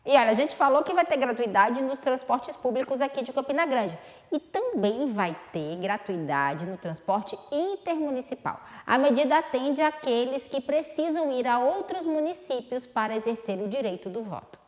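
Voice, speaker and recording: A woman anchoring a regional TV newscast, reading the news in a clear, lively way. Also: high-pitched, moderate pace, big-room sound. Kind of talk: scripted news presenting.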